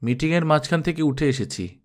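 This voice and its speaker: A man's voice: fairly high pitch, lively intonation, fairly quick, lightly acted. A man voicing a proud senior company manager in an audiobook, with an indignant, self-important manner. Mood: annoyed, indignant. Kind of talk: audiobook character dialogue.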